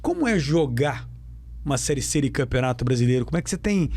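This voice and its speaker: A man's voice: mid-range voice, expressive, fast, darkish tone, no echo. A man interviewing a young footballer, asking emphatic questions and repeating them for effect. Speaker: sports radio interviewer.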